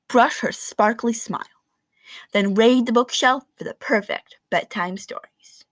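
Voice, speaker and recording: A woman reading a children's picture book aloud in an expressive, animated storytelling manner with dramatic emphasis. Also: on the high side, dry recording.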